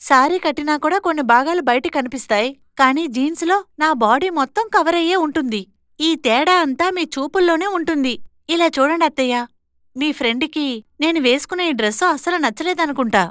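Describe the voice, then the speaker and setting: A woman plays a bold young sister in a cartoon moral tale. Her voice is high and dry, with no room around it. She talks back firmly and scolds like someone who will not be pushed around. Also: fairly quick, fairly bright tone, no echo. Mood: defiant, indignant. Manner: assertive, scolding. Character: bold, defiant young twin sister standing up to her in-laws. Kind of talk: moral story cartoon dialogue.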